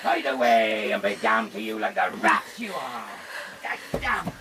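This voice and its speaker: A brutal middle-aged sea captain in a radio drama. His voice is gravelly and swings wildly in pitch as he taunts and threatens like a drunken tyrant. The recording is noisy and off-mic. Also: sing-song, measured pace, recorded from a distance. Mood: furious cursing. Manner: menacing, bellowing, taunting.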